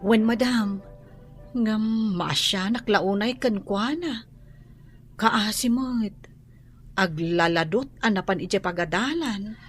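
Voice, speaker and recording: An actress playing a gentle, worried household servant in an Ilocano radio drama, speaking soothingly and with deference. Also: female voice, medium pitch, takes it slowly, long pauses, breathy edge, faint music behind. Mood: worried, deferential.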